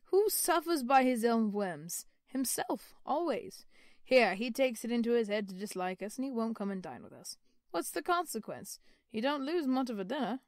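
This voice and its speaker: Loud, jolly young gentleman, played by a woman, higher-pitched than his hearty manner suggests, dry close recording. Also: sing-song, normal speed, dry recording. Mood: hearty, jovial. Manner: loud, boisterous and cheerful. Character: hearty, jovial young man, laughing.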